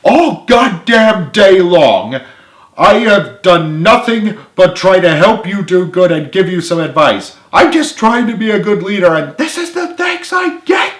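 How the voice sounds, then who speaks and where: Man acting furious male team leader, high and echoey. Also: very animated, unhurried. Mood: furious, hurt. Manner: shouting, emphatic, swearing tirade. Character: hot-headed, embittered young male team leader. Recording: echoey room.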